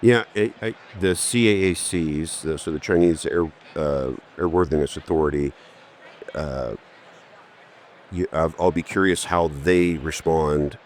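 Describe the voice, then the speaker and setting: A man hosting a podcast interview, asking his questions casually and haltingly, with many fillers and restarts. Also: extremely low pitch, slight background noise. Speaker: podcast host.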